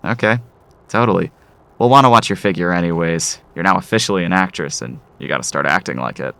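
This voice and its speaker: A man's voice: low-pitched, fast talker, vocal fry, bright, forceful tone, clean tone, exaggerated voice. A man acting in an audio drama as an eager, pushy, proud father of a young actress. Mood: brisk. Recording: music under the voice.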